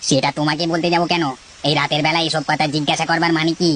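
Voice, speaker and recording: A performer voicing a curt, evasive village chicken thief in an animated children's story. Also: medium pitch, very fast, slight background noise, close to an everyday voice. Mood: defensive.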